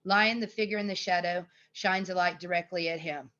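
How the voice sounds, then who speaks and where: A woman's voice: fairly low pitch, steady tone, a little fast, sharp tone, muffled recording. A woman reading scripted screenplay narration and stage directions.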